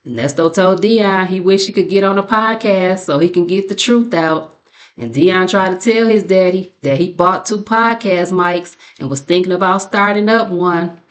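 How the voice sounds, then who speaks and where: Sassy low-voiced American woman recapping gossip. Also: moderate pace. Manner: sassy, mocking, colloquial. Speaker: commentary channel host and narrator. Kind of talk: casual gossip recap commentary.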